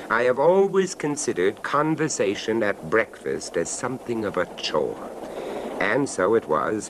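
Urbane traveler telling a creepy radio tale, deep voice, measured n literary, noisy train sound behind. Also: male voice. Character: urbane, reserved male traveler narrating a suspense tale. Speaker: narrator and character. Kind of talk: old-time radio horror narration and acting.

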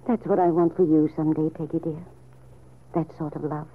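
A woman playing a kindly, wistful older aunt with a low husky voice, measured and tender, in old-time radio soap style. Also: flat delivery. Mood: tender, nostalgic. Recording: narrow, tinny old radio recording.

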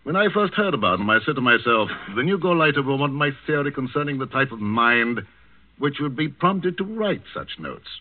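Thin vintage radio recording, sardonic scientist doctor, husky, swooping intonation, urbane, deliberate, defiant. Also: male voice, medium pitch, big pitch swings, no echo, phone-quality sound. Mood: wry, composed. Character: wry, unflappable male psychiatrist scientist, slyly defiant. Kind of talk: 1940s radio drama acting. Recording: thin, band-limited old radio recording.

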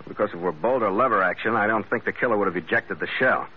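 An actor playing a tough, calm skipper turned detective in an old radio drama, terse and matter-of-fact, narrating and speaking in character. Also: male voice, lowish voice, quick pace, husky. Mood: businesslike. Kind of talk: 1940s-50s radio drama acting, first-person narration and dialogue. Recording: muffled, noisy old radio recording.

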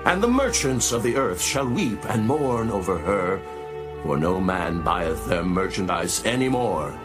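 A man's voice: deep voice, very animated, a little slow, music in the background. A man playing a solemn heavenly voice in a dramatized scripture reading, pronouncing judgment and lament in a declamatory way.

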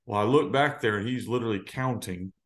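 Relaxed man telling a story. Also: lowish voice, fairly quick. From United States. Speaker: high school football coach, guest. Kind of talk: casual storytelling in interview.

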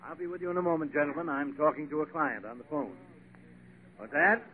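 A man acts a patronizing talent agent in a 1940s radio comedy. He instructs in a high, polished, insistent voice, heard through a tinny broadcast with light hiss. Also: a little fast, lightly acted. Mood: businesslike. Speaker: actor.

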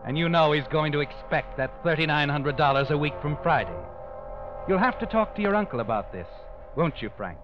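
An actor playing a sardonic, knowing suspense narrator who needles the character directly, in 1940s radio style. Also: male voice, fairly high pitch, animated, fairly quick, close to an everyday voice. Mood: ominous. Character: sardonic male narrator needling the guilty man. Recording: dull old radio recording over music.

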